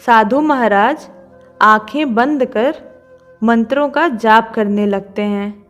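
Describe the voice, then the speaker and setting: A woman's voice: fairly high pitch, slow, faint music behind. A woman narrating a children's cartoon story from a script.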